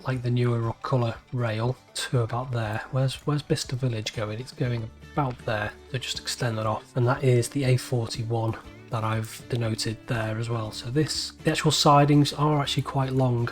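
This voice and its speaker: A man with a Scouse accent chats his way through a game, thinking aloud and breaking off to restart his sentences. His voice sits at an ordinary middle pitch. Faint music plays softly underneath. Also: steady tone, moderate pace. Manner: chatty, thinks aloud with frequent restarts and self-asides. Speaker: video game let's-play creator. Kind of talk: casual gameplay commentary.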